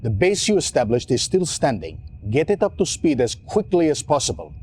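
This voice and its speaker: An actor playing a serious, pessimistic military officer who gives terse, formal reports in a video game cutscene. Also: male voice, fairly high pitch, wildly swooping pitch, normal speed, darkish tone, slight background noise. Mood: serious, urgent. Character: serious, pessimistic Allied officer advising his general. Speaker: military officer, aide to the general.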